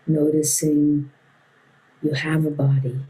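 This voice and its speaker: A woman teaching a guided meditation in a gentle, unhurried way with long pauses. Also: sits low, level tone, low-quality recording.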